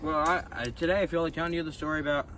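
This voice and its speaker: A man's voice: on the high side, fairly even intonation, very fast, fairly bright tone, close to an everyday voice. A man playing a callous, self-important young guy in improvised comedic role-play, talking casually and bossily to his buddy. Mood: chummy. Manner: casual, bossy, needling. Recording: noisy hobby recording.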